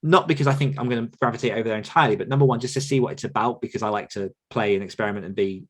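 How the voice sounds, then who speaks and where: A man's voice: medium pitch, rapid-fire. Chatty man on a candid podcast. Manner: candid, chatty, laughs often. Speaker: podcast host and author coach. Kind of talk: casual podcast chat.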